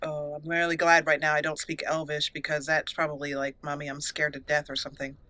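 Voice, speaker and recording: A woman's voice: low voice, flat delivery, a little fast, bright, forceful tone, a little hiss or hum. A woman hosting a let's play, chatting playfully through her gameplay, laughing often and reading game text aloud. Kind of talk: gameplay commentary.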